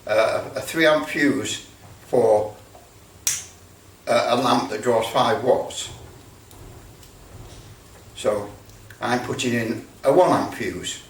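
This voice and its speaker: A British man giving a casual hands-on demonstration, halting as he thinks aloud. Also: medium pitch. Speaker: presenter demonstrating electrical testing. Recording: roomy, distant mic with background noise.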